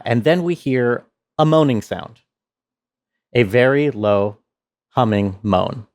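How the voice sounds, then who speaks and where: A man explaining his sound design work in a measured behind-the-scenes walkthrough. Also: medium pitch, lively intonation, no room sound.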